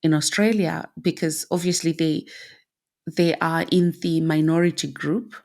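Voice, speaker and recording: A woman from Zimbabwe in her thirties, a mother talking calmly and reflectively in casual conversation. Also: sits low, measured pace. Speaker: mother and guest.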